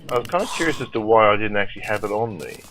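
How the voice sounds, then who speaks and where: A man's voice: on the low side, expressive, rapid-fire, fairly bright tone, slight background noise, low-quality recording. A man with a sore throat from a cold, casually commenting on his gameplay as a let's play host and thinking aloud with lots of ums.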